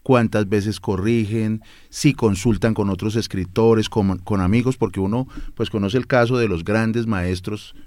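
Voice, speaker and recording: A man's voice: fairly low pitch, fairly even intonation, unhurried, hardly any pauses, a little mellow, no room sound, studio quality. A man speaking as an interviewer, putting questions in a conversational way.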